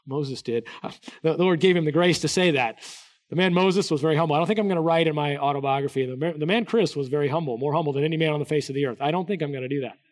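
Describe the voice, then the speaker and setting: Man, middle-aged, pastor, sermon, slightly high voice, earnest, hushed to emphatic, many restarts. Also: fast. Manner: earnest, wide swings between soft hushed and raised emphatic delivery; many restarts and repeated words.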